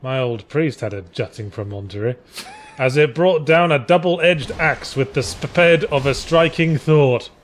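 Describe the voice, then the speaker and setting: A man's voice: mid-range voice, measured pace, a little hiss or hum. A man co-hosting a mock story reading, moving between narration and wry, joking banter. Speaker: co-host reading aloud.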